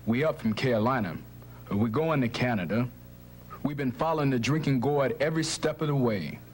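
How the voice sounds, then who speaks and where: Storybook voice: a protective runaway father, low and steady, played by a woman narrator. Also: a little fast, slight background noise. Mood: earnest. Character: grown man, a runaway father hiding in a barn. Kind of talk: storybook reading.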